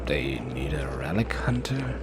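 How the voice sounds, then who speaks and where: A man playing a wry, principled male relic hunter in a dark fantasy audio drama. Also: a bass voice, very animated, a little slow, very noisy, exaggerated voice. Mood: wry, half amused. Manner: muttering, absent, earnest.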